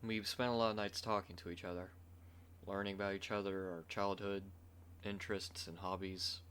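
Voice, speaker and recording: A man's voice: low voice, little pitch movement, moderate pace, fairly bright tone, a little hiss or hum. A man hosting a roleplay channel, talking casually in an outro. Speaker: ASMR roleplay channel host.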